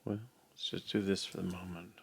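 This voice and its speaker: A man's voice: sits low, flat delivery, fairly quick, vocal fry, slightly rough. An older man giving casual think-aloud commentary as he codes, musing and muttering to himself. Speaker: programmer hosting a live coding session. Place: indoor room at home.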